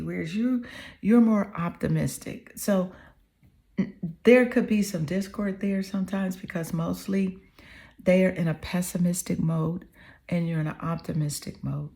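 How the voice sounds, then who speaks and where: Slow, mellow-voiced woman reading tarot. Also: fairly low pitch, gravelly. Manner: earnest, affirming, repeats phrases with "okay?" and "you know". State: slightly hoarse. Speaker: tarot reader. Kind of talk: tarot card reading to the viewer.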